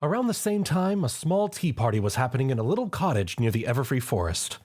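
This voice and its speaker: Guy narrating a fanfic, husky, super animated. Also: mid-range voice, fast talker. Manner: lively, expressive narration. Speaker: reader. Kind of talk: fan fiction reading.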